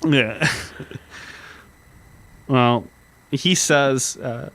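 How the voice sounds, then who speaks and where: A man co-hosting a casual history podcast, talking earnestly and reading historical accounts slowly. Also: mid-range voice, fairly bright tone. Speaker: podcast co-host.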